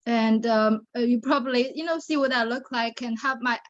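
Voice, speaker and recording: A woman's voice: a little high, normal speed, lo-fi sound. Chinese-accented woman, earnest guest. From China. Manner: earnest, many fillers and restarts. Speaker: guest, survivor advocate. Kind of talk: interview on a video show.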